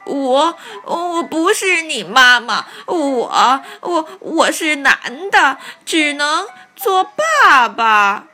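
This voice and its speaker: A woman voicing a hapless, soft-hearted male fox in a children's story, hesitant and given to muttering to himself. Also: fairly high pitch, big pitch swings, slow pace, heavily acted. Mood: stunned, flustered. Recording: soft music behind the voice.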